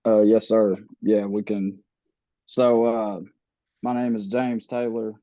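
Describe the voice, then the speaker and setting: A man in his thirties, born in the Philippines and raised in the US, telling his life story slowly with many pauses and fillers. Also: lowish voice, level tone, a little mellow, dry recording, highs cut off. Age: 30s. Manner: slow, halting, many fillers and restarts. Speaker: podcast guest.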